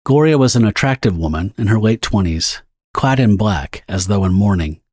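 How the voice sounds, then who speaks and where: A man with a husky voice giving scripted, dramatic narration of a horror story. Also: lowish voice, lively intonation, moderate pace, a little mellow, no echo. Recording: dry, with music underneath. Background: music.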